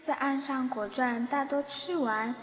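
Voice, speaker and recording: A woman's voice: high voice, steady tone, a little slow, a bit bright. A woman reading scripted narration for a fantasy radio drama. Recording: long reverb, music underneath. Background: background music.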